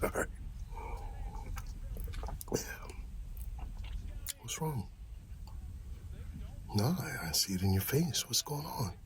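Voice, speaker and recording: A man doing a casual ASMR roleplay as an easygoing, supportive friend, talking softly in a laid-back buddy way. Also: sits low, a little hiss or hum. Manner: soft-spoken, half-whispered, laid-back buddy talk.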